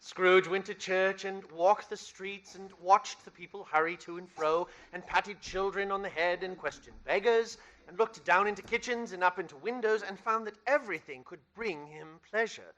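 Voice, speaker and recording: A man's voice: sits high, normal speed, few pauses, a bit bright, lo-fi sound. A man playing the narrator of a Christmas radio play, telling the tale with emphatic theatrical delivery. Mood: merry, storytelling.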